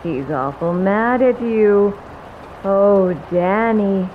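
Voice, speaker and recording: A woman's voice: medium pitch, phone-quality sound, slight background noise. A woman voicing a taunting ghost of a murdered woman. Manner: slow, singsong, menacing taunting. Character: dead female victim ghost, taunting, vengeful. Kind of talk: horror audio drama.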